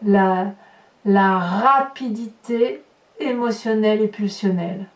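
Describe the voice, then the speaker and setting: A woman's voice: medium pitch, takes it slowly. A woman improvising a tarot reading for her viewers, breaking into exclamatory, astonished asides as she comments on the cards. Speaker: tarot reader and channel host. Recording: roomy echo, distant mic, background noise.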